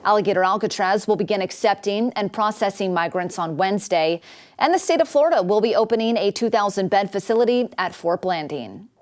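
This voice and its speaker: A woman's voice: mid-range voice, brisk. A woman with an American accent delivering scripted news narration as a reporter.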